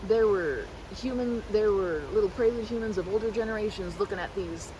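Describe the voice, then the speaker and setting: A woman's voice: medium pitch, brisk, dull audio, noisy background. A woman rambling casually and animatedly like a chatty best friend, slipping into mimicked quotes in a roleplay.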